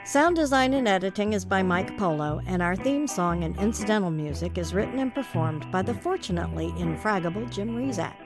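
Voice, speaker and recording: A woman's voice: mid-range voice, expressive, fairly quick, hardly any pauses. Woman announcer over guitar music. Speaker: podcast creator and announcer. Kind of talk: scripted closing announcements.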